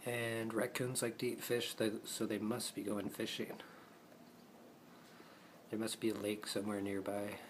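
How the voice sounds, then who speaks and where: A man's voice: lowish voice, level tone, measured pace, long pauses, a little hiss or hum. A man improvising playful puppet show narration, chatting casually as if to the puppets, in an amateur recording.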